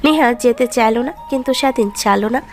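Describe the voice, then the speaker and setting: A woman's voice: on the high side, fairly quick, faint music behind. A woman narrating a scripted romantic story as its storyteller.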